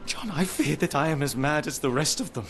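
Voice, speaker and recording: Casting: an obsessive, brooding British Victorian detective for a game, fairly high-pitched, formal and eloquent, music underneath. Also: male voice, animated, fairly quick. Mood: despairing. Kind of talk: video game cutscene acting. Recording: music behind the voice.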